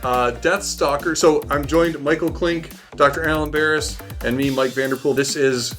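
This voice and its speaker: A man's voice: fairly high pitch, fairly even intonation, moderate pace, a bit bright, faint music behind. A man hosting a podcast in casual chat, with an enthusiastic, geeky way of talking. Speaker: podcast host.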